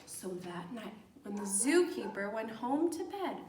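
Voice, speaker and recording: A woman's voice: mid-range voice, very animated, a little slow, a little mellow, a little hiss or hum. A woman reading a picture book aloud to young children as a teacher, expressively, explaining as she goes and gasping for effect. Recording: echoey room, distant microphone.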